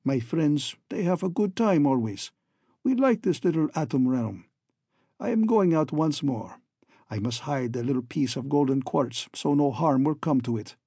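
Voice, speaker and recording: A man's voice: a little high, expressive, moderate pace, dark tone, breathy edge, no echo. A man voicing a falsely friendly, scheming hunchback villain in a story, oily and ironic, with stilted foreign-sounding phrasing. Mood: ironic good humor.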